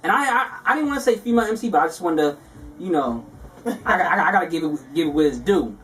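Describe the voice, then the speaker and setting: A man's voice: on the high side, animated, fast, fairly bright tone, far from the mic, rough recording. Hyped Brooklyn man hosting an interview. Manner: playful, hyped, teasing. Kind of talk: casual interview chat.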